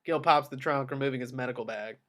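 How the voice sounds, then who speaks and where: A man's voice: mid-range voice, fast talker, a bit bright, a little hiss or hum. A man reading screenplay action lines as the narrator at a table read. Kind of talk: screenplay stage-direction narration.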